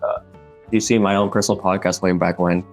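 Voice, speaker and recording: A man's voice: low voice, fairly even intonation, a little fast, faint music behind. A young man in his mid-twenties co-hosting a casual podcast, talking hesitantly with lots of fillers and frequent laughs. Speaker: podcast co-host.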